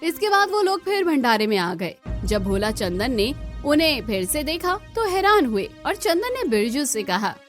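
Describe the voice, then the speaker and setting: A woman's voice: high-pitched, sing-song, normal speed, few pauses, soft background music. A woman reading scripted narration for a cartoon moral story.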